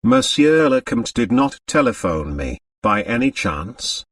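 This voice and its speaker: A man's voice: lowish voice, sing-song, measured pace, creaky, fairly bright tone, dry recording, clean studio sound, recorded from a distance, full character voice. A man voicing an anxious, formal French manservant in an audiobook, very deferential to his master. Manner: formal, obsequious.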